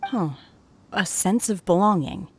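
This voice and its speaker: A woman's voice: medium pitch, a little slow, a little mellow, slight background noise, natural voice. A woman playing a reserved, earnest young outsider in a video game, polite and hesitant in her speech. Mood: pensive.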